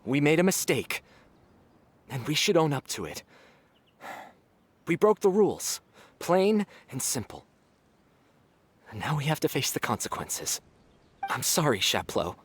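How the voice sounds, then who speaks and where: Sorry, earnest young man, lively tone. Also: fairly high pitch, a little slow, long pauses, slightly rough, full character voice. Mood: remorseful. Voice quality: earnest, apologetic. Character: remorseful young man of the house. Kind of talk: game voice acting.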